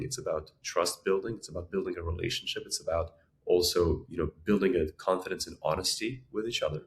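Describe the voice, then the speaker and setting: Very deep-voiced man from Ukraine and Israel. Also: gravelly. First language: Russian and Ukrainian. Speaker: podcast guest, entrepreneur. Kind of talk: conversational interview answers.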